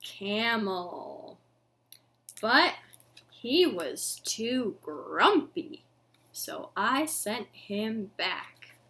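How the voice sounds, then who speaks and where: A woman in her thirties hosting an interactive storytime for young children, with expressive, sing-song delivery and exaggerated reactions. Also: medium pitch, very slow. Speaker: library storytime host. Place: living room at home.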